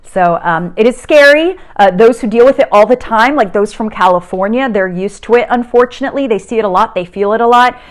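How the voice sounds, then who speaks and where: A woman's voice: a little high, brisk, few pauses. A middle-aged woman from South America, a TV meteorologist giving a casual science lesson from home, chatty and upbeat with frequent filler "uh"s and restarts. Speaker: TV meteorologist teaching a kids' lesson.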